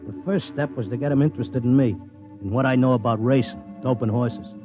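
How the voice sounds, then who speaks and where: A man's voice: medium pitch, fairly quick, natural voice. An actor playing a stubborn, slangy racetrack tipster devoted to his wife, in 1950s radio drama style. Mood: wistful, narrating. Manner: slangy, wisecracking, insistent. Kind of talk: 1950s radio drama acting with first-person narration. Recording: dull vintage 1950s radio, music behind.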